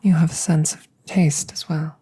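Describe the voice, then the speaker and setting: A woman playing a calm, curious alien scientist in a soft ASMR roleplay, whispering and murmuring close to the mic in a measured, formal way. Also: low-pitched, breathy edge. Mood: curious, clinical. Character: calm, clinical, curious female alien scientist examining a visitor. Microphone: close microphone.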